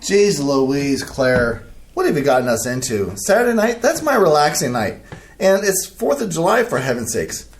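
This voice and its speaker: A man's voice: on the high side, very animated, moderate pace, slight background noise. An actor in a community staged reading playing a fussy, orderly Midwestern husband and father who grumbles as he explains. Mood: exasperated.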